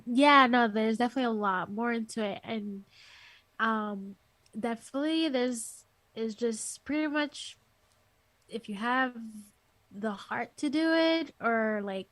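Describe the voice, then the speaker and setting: Soft, light-voiced young woman. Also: a little high, a little slow, no room sound. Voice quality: soft, light, sweet speaking voice. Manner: rambling, filler-heavy, giggly. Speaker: interview guest, singer and music teacher. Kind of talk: casual interview over a call. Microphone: headphones on a call.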